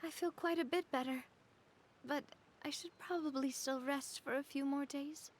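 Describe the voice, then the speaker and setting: An actress playing a tired, vulnerable young woman in a video game, speaking softly and earnestly. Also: high-pitched, fairly even intonation, a little hiss or hum, natural voice. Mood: tender. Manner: soft, earnest, slow. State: worn out.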